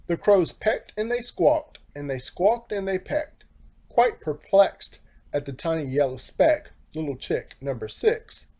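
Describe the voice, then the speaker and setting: A man reading his own children's storybook aloud in an expressive, sing-song rhyming delivery. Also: on the high side, measured pace. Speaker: author narrating own storybook.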